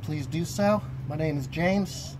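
A man's voice: on the high side, a little slow. A man narrating a casual, off-the-cuff exploring vlog on a handheld phone outdoors. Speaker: vlogger, explorer. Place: outdoors by a roadside building. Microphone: handheld camera phone. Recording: loud traffic and outdoor noise.

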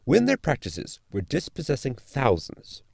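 An actor playing an erudite, pedantic crew member in a science fiction audio sitcom, speaking in a formal, lecturing way. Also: male voice, medium pitch, huge pitch swings, measured pace, creaky, darkish tone, no echo, studio quality, full character voice. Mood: dry moralizing.

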